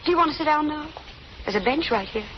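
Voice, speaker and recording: Shy, kindly young female lead for old radio drama, fairly high voice, muffled broadcast with light hiss. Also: animated, fast. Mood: tender. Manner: demure, gently amused, hesitant. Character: shy, kind young kitchen worker hiding from the law. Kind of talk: 1930s-40s radio drama acting.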